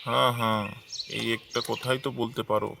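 A man playing a teasing village husband who is loyal to his ancestral home, in an animated folk tale. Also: mid-range voice, a little fast, vocal fry, background noise, lightly acted. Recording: loud music behind the voice.

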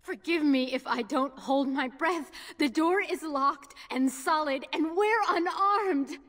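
A woman's voice: sits high, slow, a bit bright. An actress playing a frightened, bitter young elf woman held captive in a fantasy video game. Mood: fearful, bitter.

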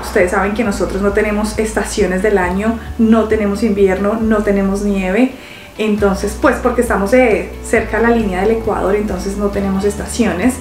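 A woman's voice: mid-range voice, a little mellow, roomy sound. A young woman from Colombia with a Bogotá accent, chatting playfully to her viewers from the sofa in her living room. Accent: Bogotá Colombian Spanish. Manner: chatty and playful, with affectionate diminutives. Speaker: reaction video host. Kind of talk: casual chat to viewers.

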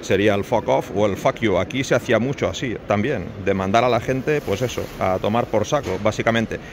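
A man's voice: on the low side, brisk. A man in his forties from Spain, chatting casually to camera as he shows items at a covered flea market, often asking his viewers questions. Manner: chatty, asks viewers questions, jokes about his English. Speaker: vlogger, flea market dealer. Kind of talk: casual vlog chat to camera. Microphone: phone at arm's length. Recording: noisy open-air market background.

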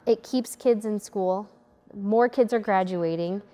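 A woman's voice: mid-range voice, measured pace, mellow. Enthusiastic woman welding instructor. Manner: earnest, enthusiastic. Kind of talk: documentary interview. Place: indoor welding classroom.

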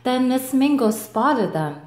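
A woman reading a children's picture book aloud, slow and expressive, giving the animals their own voices. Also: echoey room. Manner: slow, expressive, voicing each animal with lifts in pitch. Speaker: storybook reader. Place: table with picture book, indoors.

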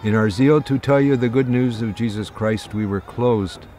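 A man reading a formal apology aloud, with grave, earnest, slow phrasing. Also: mid-range voice, dark tone. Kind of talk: solemn read statement. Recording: voice-over over clear instrumental music.

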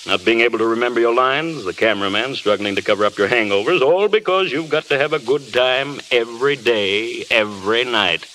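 A man plays a fatherly film producer in a 1930s radio drama. His voice is bright and firm, earnest and worried as he pleads. Faint old-broadcast hiss runs behind him. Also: mid-range voice, a little fast, few pauses, natural voice, low-quality recording.

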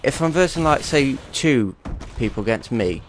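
A man's voice: medium pitch, animated, normal speed, background noise. A young man with a cold, chatting casually and swearing now and then while commentating his own gameplay. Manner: rambling, chatty, swears at opponents. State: congested with a cold. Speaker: gaming YouTuber.

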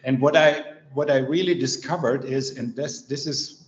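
A man's voice: mid-range voice, normal speed, a little hiss or hum. An older man talking on a video call from home, thinking aloud with many fillers and gesturing as he talks. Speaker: meeting participant. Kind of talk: casual discussion on a video call. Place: home room indoors. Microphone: webcam or laptop mic on a video call. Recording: roomy, dull video call audio.

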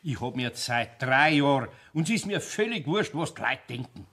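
An actor playing a good-natured, patient older carpenter with a Bavarian accent in a German children's radio play, folksy and conversational. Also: male voice, medium pitch, lively intonation, normal speed, sharp tone, slightly rough. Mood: earnest.

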